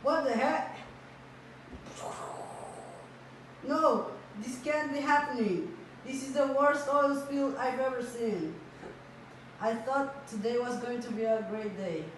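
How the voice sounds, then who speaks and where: A woman's voice: a little high, unhurried, close to an everyday voice. A woman acting a naive little sea creature in an amateur puppet show. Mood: dismayed. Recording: echoey room, distant mic, faint noise.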